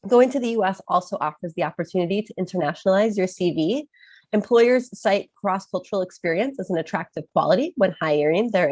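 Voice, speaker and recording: Woman, American Chicago accent, lowish voice, dry close sound, getting over a cold, webinar presenter, ums. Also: a little mellow, no room sound. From Chicago, USA. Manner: informative, with frequent um fillers. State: recovering from a cold. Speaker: study-abroad advisor, webinar presenter.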